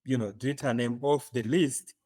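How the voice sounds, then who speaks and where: A man from Uganda with an East African accent, telling his story as an interview guest, often pausing to search for words. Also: mid-range voice, fairly even intonation, mellow, breathy edge, no echo, studio quality. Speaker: podcast guest.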